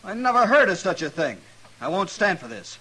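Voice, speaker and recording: Bullying western heavy, raised pitch with big swings, demanding, blustering, vintage radio. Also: male voice, big pitch swings, fairly quick, pushed and bright, slightly rough, exaggerated voice. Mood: indignant, bullying. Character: bullying, indignant frontier troublemaker, possessive man. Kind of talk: old-time radio western drama acting. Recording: noisy vintage radio recording.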